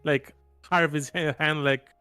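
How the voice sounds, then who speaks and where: A man's voice: on the high side, fairly even intonation, measured pace, sharp tone, clean tone, no room sound, soft background music. A man playing a tabletop roleplaying game, describing actions casually in broken, informal phrases.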